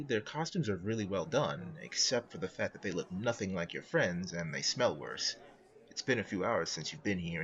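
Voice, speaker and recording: A man's voice: deep voice, a bit bright, lo-fi sound. A man reading scripted comic narration with a dry, wry manner.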